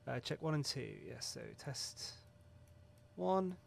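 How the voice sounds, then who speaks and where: A man's voice: a little high, takes it slowly, creaky. A man hosting a flight simulator video, chatting casually and rambling through a pre-flight checklist, swearing now and then and poking fun at himself. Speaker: flight sim video host. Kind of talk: casual talk over a flight sim checklist.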